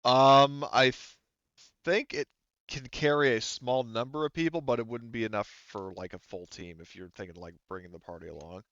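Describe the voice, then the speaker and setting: A man acting as game master, casually explaining things to players in a halting, informal way. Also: mid-range voice, fairly bright tone, smooth, dry recording, muffled recording. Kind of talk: casual tabletop game narration and chat.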